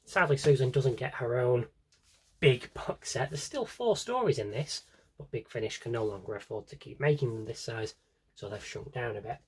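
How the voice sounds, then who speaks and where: Low-key man with a slightly husky voice. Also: expressive, normal speed. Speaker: audio drama collector and fan. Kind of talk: casual rambling shelf-tour vlog chat.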